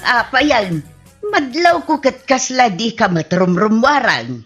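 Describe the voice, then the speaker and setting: A woman's voice: a little high, very animated, slow pace, fairly bright tone, slightly rough, soft background music, full character voice. A woman with an Ilocano Filipino accent playing a startled, scolding mother in a comedy radio drama. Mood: concerned, scolding. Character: startled, scolding mother of a wayward grown son.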